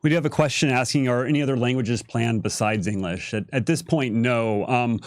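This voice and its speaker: A man's voice: mid-range voice, a little fast, no echo. Male creative director, conversational livestream explainer, very dry recording. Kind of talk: casual explanatory panel chat.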